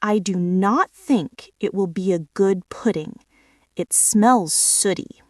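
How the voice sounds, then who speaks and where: A woman's voice: mid-range voice, slow, dry recording, recorded from a distance, close to an everyday voice. A pompous old male rat from a storybook, voiced by a woman. He is fussy and formal, fond of long-winded phrasing. The sound is dry and close. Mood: critical.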